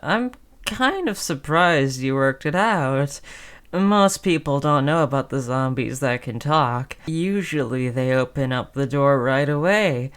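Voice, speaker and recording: A woman's voice: a bass voice, animated, unhurried, clean tone. A woman playing a sly, chummy female zombie in an audio roleplay, coaxing in a falsely friendly, hesitant conversational way. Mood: sly, confessing.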